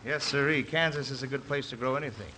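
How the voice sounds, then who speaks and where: An actor playing an earnest, reassuring young son in a 1950s radio drama. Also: male voice, mid-range voice, fast, natural voice. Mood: easygoing. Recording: vintage 1950s radio broadcast. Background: orchestral music.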